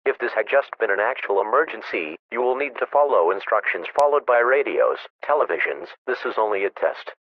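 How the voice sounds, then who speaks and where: A man reading a scripted emergency broadcast announcement in a formal, measured, impersonal manner. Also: lowish voice, animated, no echo, narrow, tinny audio.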